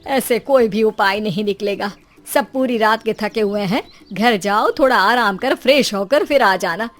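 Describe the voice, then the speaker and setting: A woman's voice: mid-range voice, normal speed, faint music behind, natural voice. A woman voicing a sceptical, matter-of-fact neighbourhood man who explains things insistently in a cartoon horror story. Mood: calm, practical.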